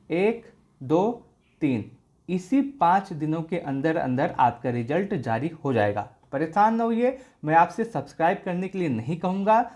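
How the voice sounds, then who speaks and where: A man's voice: fairly high pitch, a little mellow. A man hosting an informational explainer video for exam candidates, going through things step by step and often repeating himself. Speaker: exam update video host.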